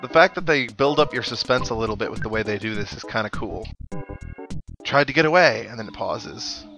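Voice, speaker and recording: A man with a medium-pitched voice hosts a casual gaming playthrough. He muses aloud in a rambling way and reads text off the screen. Game music plays clearly behind his voice. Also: expressive, a little fast, sharp tone. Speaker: let's play host. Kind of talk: casual gameplay commentary. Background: video game music.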